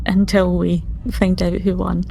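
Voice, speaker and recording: A woman's voice: on the low side, level tone, measured pace, vocal fry, dark tone, slight background noise. A woman playing a tabletop game, chatting casually at the table. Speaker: player.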